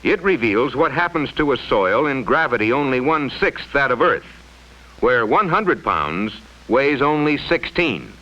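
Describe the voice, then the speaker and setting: A man reading scripted mid-century educational film narration in a formal, measured, explanatory way, on a vintage soundtrack. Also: mid-range voice, big pitch swings, sharp tone, dull audio.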